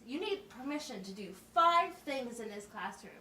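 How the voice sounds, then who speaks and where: A woman playing a teacher in a scripted classroom puppet show, explaining things simply and deliberately. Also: a little high, very animated, pushed and bright. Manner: instructive, simple wording with deliberate enunciation. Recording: roomy, distant mic with faint noise.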